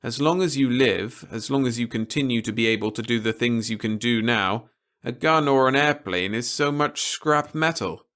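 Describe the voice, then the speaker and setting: A man performs a stern, articulate official from an audiobook story. The voice is lifted well above a middle register, with deliberate, emphatic and formal delivery, like a lecture to someone stubborn. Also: mid-range voice, fairly bright tone, pitched above the performer's own voice, natural voice. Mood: blunt, explanatory. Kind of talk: audiobook character dialogue.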